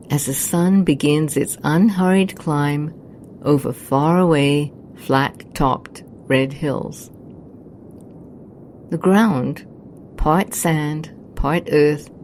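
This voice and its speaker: A woman narrating a scripted guided meditation in a soothing, hushed, unhurried manner. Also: deep voice, a little hiss or hum.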